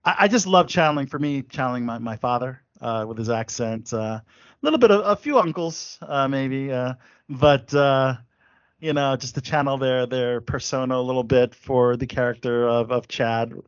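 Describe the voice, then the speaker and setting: An upbeat man hosts a relaxed panel chat, filling pauses with "uh" and laughing easily. His voice sits in a middle pitch. The sound is dry and a little dull. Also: clean tone, dry recording. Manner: upbeat, laughs often, many fillers. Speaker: podcast host.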